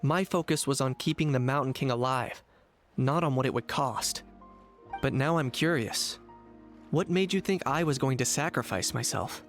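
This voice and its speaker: An actor playing a pragmatic, reserved young warrior in an anime video game, level-headed and matter-of-fact. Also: male voice, medium pitch, moderate pace, over music, close to an everyday voice. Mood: cool, dry.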